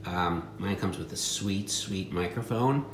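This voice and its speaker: A man's voice: low-pitched, unhurried, reverberant, a little hiss or hum. A man showing secondhand finds in casual, offhand chat with a wry, joking manner.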